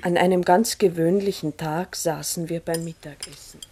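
A troubled farm wife , played by an actress, recounts something eerie in a 1960s radio drama. Her voice sits a little low and she speaks gravely, with deliberate care. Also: fairly even intonation, a little mellow, slight background noise, lightly acted. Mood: grave recounting. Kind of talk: 1960s German-language radio play acting.

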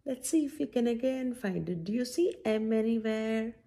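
A woman's voice: fairly high pitch, moderate pace. A middle-aged woman hosting a children's story time, reading a picture book aloud with coaxing, sing-song commentary and questions. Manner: animated, sing-song, coaxing teacher-like delivery. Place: small indoor room at home.

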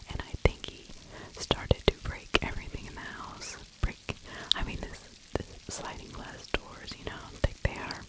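Middle aged lady whispering true crime asmr right up on the mic, hushed n chatty. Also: poor audio. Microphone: large fluffy-covered microphone held very close to the mouth.

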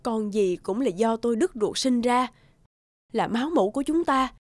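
A woman's voice: on the high side, lively intonation, normal speed, no room sound, studio quality. An actress playing an earnest, protective expectant mother in a cartoon, pleading as she speaks. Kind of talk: cartoon dubbing.

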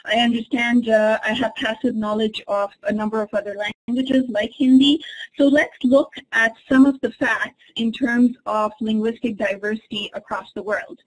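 A woman whose first language is Urdu, an associate professor, presenting a webinar lecture in a measured, explanatory way over a computer microphone. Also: fairly high pitch, steady tone, rough recording. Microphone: computer microphone in an online webinar.

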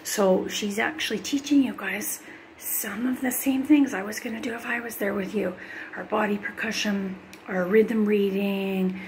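A middle-aged woman talks in a chatty, upbeat way straight to her viewers, a teacher giving her students a tour of her home. Her mid-pitched voice has a gravelly fry to it. It is recorded on a handheld phone in small rooms, with faint household noise behind her. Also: rough recording. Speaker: music teacher. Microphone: phone camera held by hand.

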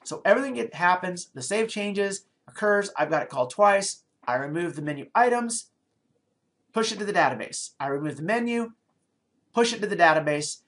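A man's voice: high voice, animated, normal speed, fairly bright tone. A middle-aged man in a small office, recorded on a desk webcam, walking through code in a screencast programming tutorial and thinking aloud with fillers and restarts. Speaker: programming lecturer.

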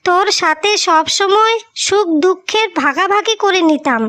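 A woman's voice: extremely high pitch, moderate pace, bright, forceful tone, no echo. A woman voicing a caring, worried elder sister in a cartoon fairy tale, tender and coaxing. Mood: fond. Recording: music bed behind the voice.

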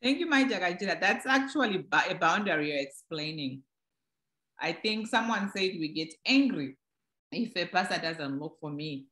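A woman's voice: lowish voice, animated, moderate pace, sharp tone. A woman moderating a church discussion, speaking reflectively in a conversational, teaching way. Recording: echoey room.

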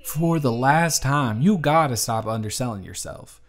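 A man's voice: mid-range voice, animated, moderate pace, lightly acted. A man playing a teasing, affectionate young bartender with a Southern US accent and a folksy manner, in a romantic audio roleplay. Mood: earnest, encouraging. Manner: folksy, playful, affectionate drawl. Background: music.

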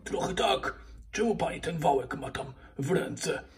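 A man's voice: a little high, animated, a little slow, creaky, far from the mic, pitched above the performer's own voice. A man voicing a cheerful but wary daddy pig in a children's toy puppet story, speaking hesitantly. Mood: puzzled, wary.

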